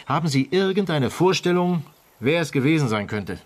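An actor playing a sceptical police detective in a radio crime drama, asking formal, pointed questions. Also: male voice, a little high, expressive, normal speed. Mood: probing, sceptical.

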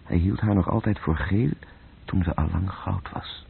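An actor playing a solemn, brooding narrator who speaks in poetic, incantatory phrases in a radio play. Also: male voice, sits low, normal speed, vocal fry, husky, exaggerated voice. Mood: incantatory, ominous. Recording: noisy, dull old radio play recording.

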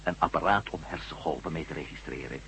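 An actor playing a quick-witted, inquisitive male reporter in a Dutch radio drama, politely insistent. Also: extremely low pitch, vocal fry, bright, forceful tone, husky, heavily acted. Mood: eager, insistent. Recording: humming, noisy old radio recording.

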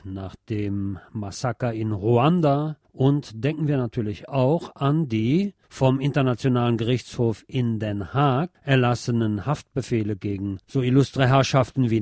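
A man's voice: medium pitch, mellow, no room sound, clean studio sound. A man presenting a scripted radio magazine with news and commentary, phrasing things in a wry, dramatic way.

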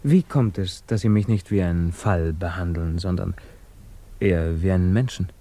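Low-voiced, guarded émigré physicist. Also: male voice, normal speed, mellow. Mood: earnest, wistful. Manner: hesitant, wary, formal. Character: guarded, evasive émigré physicist under suspicion, quietly troubled. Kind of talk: 1950s German radio drama acting.

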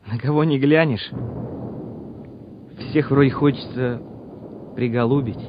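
An actor playing a quiet young soldier who talks haltingly and puts on bravado before battle, in a Soviet radio drama. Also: male voice, mid-range voice, lightly acted. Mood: nervous bravado. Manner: halting, repeats himself. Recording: muffled old radio drama recording, noisy.

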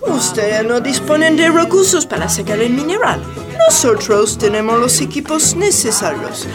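High-pitched scheming American attaché. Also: female voice, big pitch swings, normal speed, loud music behind the voice. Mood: persuasive. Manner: English interjections. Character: smooth-talking, scheming American embassy mineral attaché, absent-minded. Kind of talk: radio theatre satire.

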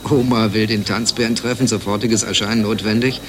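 An actor playing a dry, unflappable hotel employee, wry and matter-of-fact, in a satirical radio play. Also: male voice, on the low side, steady tone, a little fast, background noise, natural voice. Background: music.

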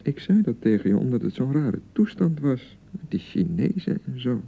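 A man acting an excitable young newlywed husband in a radio drama, by turns blustering and awkwardly pleading. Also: mid-range voice, normal speed, gravelly, breathy edge, narrow, tinny audio, exaggerated voice. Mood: defensive, placating. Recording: thin, band-limited 1990 radio broadcast.

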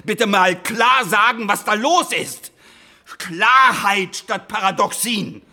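An actor playing a blunt, impatient middle-aged lawyer and entrepreneur in a radio drama, talking in a sarcastic way that builds to exasperation. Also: male voice, sits high, slow, exaggerated voice. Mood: exasperated. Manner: sarcastic, rising to shouting exasperation.

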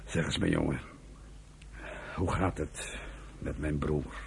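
A weary old uncle in a radio play. His voice is extremely low and full of gravel, and he speaks slowly and heavily, with wistful longing. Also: fairly even intonation, a little hiss or hum, heavily acted. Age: older. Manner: slow, heavy, halting delivery. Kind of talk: radio drama acting.

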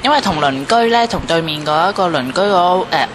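A woman with a Hong Kong Cantonese accent casually recalling things in an interview, in halting phrases. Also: on the low side, animated. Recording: noisy ambient location, vehicle sounds.

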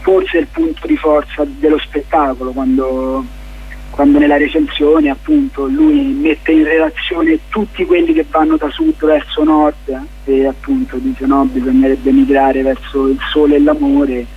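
A man giving reflective interview answers as a guest playwright, haltingly, searching for his words. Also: on the high side, big pitch swings, husky, narrow, tinny audio. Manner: halting, searching for words, many fillers and restarts.

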